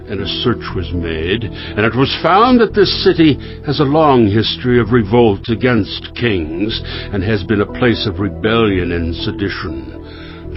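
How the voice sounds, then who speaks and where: A man plays an ancient Persian king, commanding and formal as he issues decrees. His voice is fairly low. Loud music runs behind the slightly muffled recording. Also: lightly acted. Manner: formal, authoritative. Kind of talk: dramatized scripture reading.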